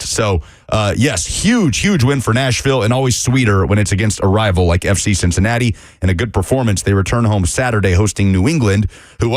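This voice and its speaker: A man breaking down sports on a studio radio show, brisk and detailed, rattling off records and numbers. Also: mid-range voice, no room sound. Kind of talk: sports radio talk, analysis. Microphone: studio microphone.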